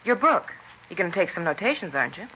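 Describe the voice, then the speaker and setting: An actress playing a nosy, pushy middle-aged neighbor in a 1950s radio crime drama, talking in a brassy busybody way. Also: female voice, lowish voice, sing-song, fast talker. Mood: insistent. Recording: lo-fi vintage radio broadcast with faint noise.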